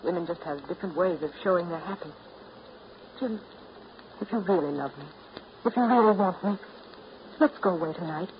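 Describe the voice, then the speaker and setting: An actress playing an earnest, devoted woman in love in a 1930s radio drama, speaking with quiet, urgent insistence. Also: on the low side, fairly quick, pauses often, close to an everyday voice. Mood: tender, urgent. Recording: muffled 1939 radio broadcast with clear hiss.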